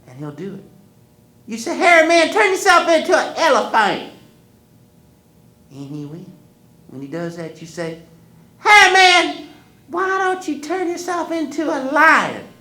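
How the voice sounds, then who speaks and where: A woman doing a stern high-voiced grandma. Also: very animated, pushed and bright, echoey room, full character voice. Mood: commanding. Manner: high, insistent, fast instructions. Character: stern old grandmother giving orders.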